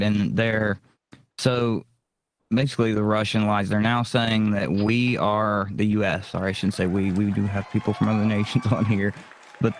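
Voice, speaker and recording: A man's voice: fairly low pitch, a little hiss or hum. A man hosting a casual live-streamed discussion, chatting with the panel and reading out questions. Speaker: panel host.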